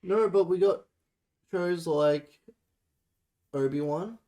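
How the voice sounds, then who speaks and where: A man's voice: sits high, slow pace, warm tone. A man co-hosting a podcast, giving opinions in informal, slangy casual chat. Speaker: podcast co-host.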